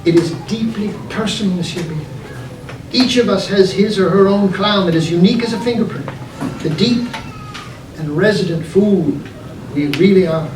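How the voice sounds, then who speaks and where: A grave, pompous clown master, acted out by a man telling a story. He speaks slowly and deliberately, with intense, gentle firmness. The sound is echoey and distant from the mic. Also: sits high, noisy background, close to an everyday voice. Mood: solemn, intimate. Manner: slow, deliberate, solemn, gentle but firm.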